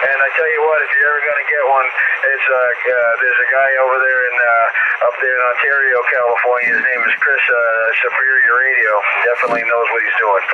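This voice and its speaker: An American man heard over two-way radio, chatting casually and haltingly with a fellow hobbyist in radio jargon. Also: medium pitch. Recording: muffled, very noisy radio reception. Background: loud radio static.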